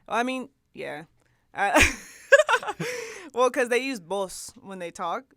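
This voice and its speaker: A woman's voice: fairly high pitch, wildly swooping pitch, slow pace, pushed and bright, dry recording, studio quality. A woman in her twenties with a Texan accent, a podcast guest chatting casually and laughing easily. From Texas. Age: 20s. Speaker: guest musician.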